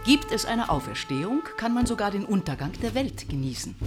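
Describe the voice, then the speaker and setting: A woman plays a haughty, threatening patroness in a radio drama. She declaims at medium pitch with animated swings, over clearly audible music. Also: fairly quick, close to an everyday voice. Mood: demanding. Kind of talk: declamatory radio play dialogue.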